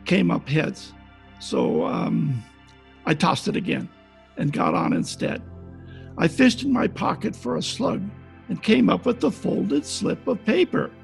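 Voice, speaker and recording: Casting: male actor as cynical private eye, high-set voice full of gravel, wry and tough, old radio play over a video call. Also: a little slow, heavily acted. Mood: wry, world-weary. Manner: wry, deadpan, tough-talking. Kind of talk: 1940s detective radio acting, narration and dialogue. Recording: video call recording with faint music behind.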